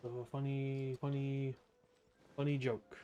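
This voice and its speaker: A man's voice: mid-range voice, flat delivery, slow pace, dark tone. A man streaming a video game live, making joking, sarcastic asides. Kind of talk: casual live game commentary. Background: faint game music.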